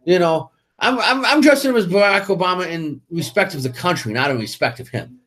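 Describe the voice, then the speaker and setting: High-voiced man arguing his point. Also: expressive, brisk, fairly bright tone. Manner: argumentative, emphatic, many restarts. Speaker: co-host. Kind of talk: casual political talk show chat.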